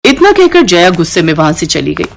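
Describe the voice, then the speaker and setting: A woman's voice: on the low side, sing-song, fairly quick, creaky. A woman narrator reading a scripted moral tale as a storyteller.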